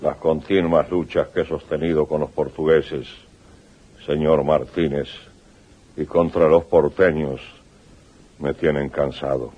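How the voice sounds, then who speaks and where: Radio-play exiled commander: very low, muffled husky voice, proud, weary, grave. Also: male voice, little pitch movement, a little slow, exaggerated voice. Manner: grave, declamatory. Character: weary, proud aging soldier, exiled leader. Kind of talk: 1980s radio drama acting. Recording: muffled old radio recording.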